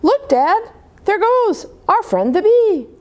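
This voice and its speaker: A woman's voice: very high voice, sing-song, takes it slowly, pitched above the performer's own voice, exaggerated voice. A woman voicing an eager, curious little boy bear in a children's storybook, chirpy and full of questions. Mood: eager questioning.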